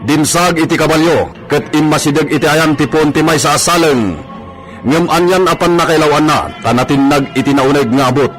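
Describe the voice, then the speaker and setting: A man's voice: fairly high pitch, brisk. A man with a Filipino accent giving scripted dramatic narration for a radio serial over music.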